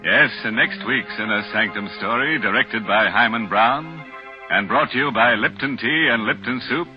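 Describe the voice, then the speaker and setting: An actor playing a ghoulish, mischievous host of a 1940s radio horror show, with a wry, macabre, theatrical manner. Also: male voice, mid-range voice, moderate pace, close to an everyday voice. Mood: sly, ghoulish. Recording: muffled 1940s radio broadcast with music.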